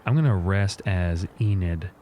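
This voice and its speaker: Deep-voiced man at a game table. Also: unhurried, warm tone. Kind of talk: casual table talk.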